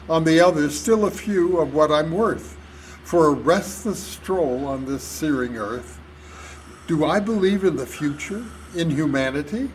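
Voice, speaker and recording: An older man giving a solemn, deliberate, declamatory reading of poetry at a live club performance. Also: a little high. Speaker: reader at a club reader's theater. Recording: noisy live hall recording.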